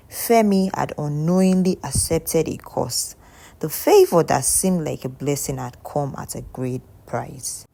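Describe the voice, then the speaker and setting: A woman with a low voice tells a folktale. Her pitch swings widely as she shapes each line, and she keeps a steady, solemn manner with dramatic pauses. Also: creaky. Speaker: storyteller. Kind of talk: scripted folktale narration.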